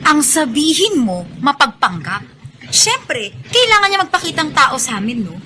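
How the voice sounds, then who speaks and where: A woman's voice: sits high, sing-song, moderate pace, bright, forceful tone, a little hiss or hum, heavily acted. An actress playing a scornful, haughty and suspicious young Filipina woman in a radio drama. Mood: sneering.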